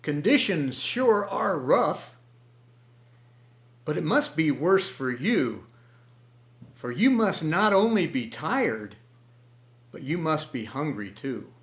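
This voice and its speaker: A man voicing a vain, hungry male rooster who grumbles gruffly in a storybook reading. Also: fairly high pitch, very animated, takes it slowly, pauses often, a bit bright, full character voice. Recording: echoey room.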